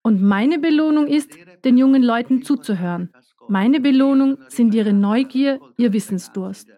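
A woman giving a measured, earnest first-person voice-over for a documentary. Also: a little high, dark tone. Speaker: voice-over speaker.

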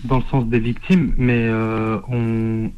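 A man's voice: mid-range voice, level tone, slow pace, clear, resonant tone, dull audio. A man born in France, a radio studio guest, talking in an earnest, emphatic way. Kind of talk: radio discussion.

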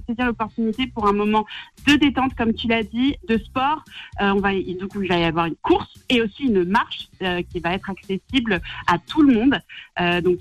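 A woman guest on a morning radio show, talking upbeat and quick about a charity event, with many fillers. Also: mid-range voice, rough recording. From Mexican heritage, raised in France. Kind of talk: radio interview, casual.

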